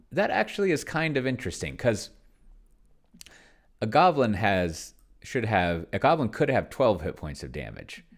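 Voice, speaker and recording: A man with a low, gravelly voice talks casually through his own game as host. His pitch swings in a lively way while he thinks aloud, rambling and hesitating, often leaving a thought half finished. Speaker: solo tabletop roleplayer and host, narrating own play session. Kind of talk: casual unscripted play-along commentary, thinking aloud.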